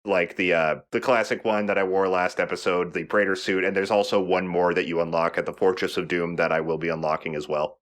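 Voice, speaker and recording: A man with a low-pitched voice commentates over a video game as the host. He explains things in a casual, chatty way. He drops in joking asides and the odd swear word. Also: steady tone, fairly quick, few pauses, fairly bright tone. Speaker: let's play host and player.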